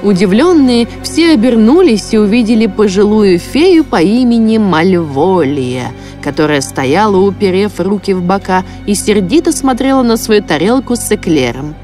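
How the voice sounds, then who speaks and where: Woman narrating a kids fairy tale, highish voice, very animated, music playing under her. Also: moderate pace, few pauses. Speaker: storyteller. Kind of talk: scripted fairy-tale narration for a children's cartoon. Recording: music behind the voice.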